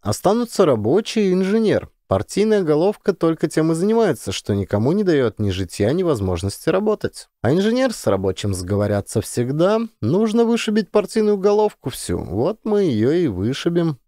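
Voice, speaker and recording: A man's voice: on the high side, sing-song, moderate pace, few pauses, warm tone, no room sound, clean studio sound, voice pushed up. A man voicing a cocksure young male communist student in an audiobook, confident, insistent and emphatic. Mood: confident, defiant. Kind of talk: dramatised audiobook dialogue.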